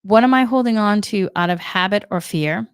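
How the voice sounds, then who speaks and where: A middle-aged woman with a Boston accent gives gentle, reflective spiritual guidance, often repeating her questions. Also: mid-range voice, moderate pace, clear, resonant tone, no echo, clean studio sound. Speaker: spiritual energy guide, Reiki practitioner.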